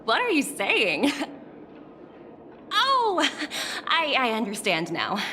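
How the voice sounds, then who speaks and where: An actress playing a playful young woman executive in a video game, coy, friendly and expressive, with teasing drawn-out words. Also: high-pitched, a little hiss or hum, heavily acted. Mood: coy, explaining. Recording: loud music under the voice.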